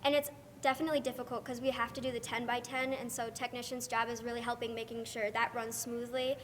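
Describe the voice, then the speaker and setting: A woman's voice: a little high, little pitch movement, fast. A teenage female stage technician speaking casually in an interview. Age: teens. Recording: echoing hall, faint background noise.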